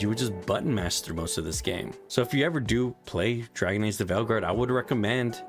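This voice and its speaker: A man's voice: medium pitch, fairly bright tone. A man giving a casual, ranting video game review, swearing often and restarting his sentences.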